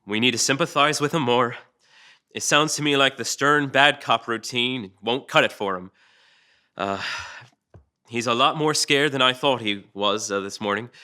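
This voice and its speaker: Weary sardonic male cop, man acting; crisp, tense medium-pitched delivery, persuasive and sweary, radio drama. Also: pushed and bright. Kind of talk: dramatic table read acting.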